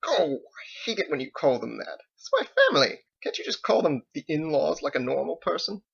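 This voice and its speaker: A man's voice: fairly high pitch, big pitch swings, brisk, creaky, fairly bright tone, breathy edge, highs cut off, pitched above the performer's own voice, full character voice. A man voicing a loving, worried wife in her sixties, affectionate and pleading, as a character in an audiobook. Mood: exasperated, suspicious. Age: 60s. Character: loving, worried sixty-five-year-old wife, bustling and warm.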